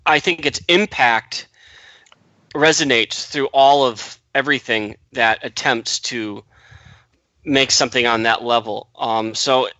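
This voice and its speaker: A man with a bright, sharp voice muses at length about rereading great books. The sound is dry and a little dull, as if from a modest mic. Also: mid-range voice, slow pace, no room sound. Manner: thoughtful, rambling. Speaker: book club member. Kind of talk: casual discussion.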